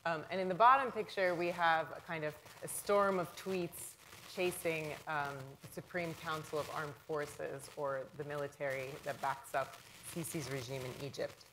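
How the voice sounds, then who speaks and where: A woman's voice: low voice, steady tone, normal speed, noisy background. A woman in her thirties giving a public lecture in a hall, talking to the audience in a conversational way and often checking in with "right?". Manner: self-described loud voice.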